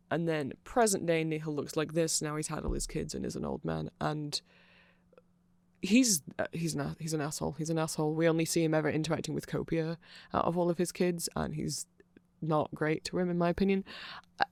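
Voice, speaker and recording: Creaky mid pitched voice doing a rambly casual asmr explainer, opinionated, super dry close sound. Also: steady tone, fairly quick, darkish tone, dry recording. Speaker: content creator.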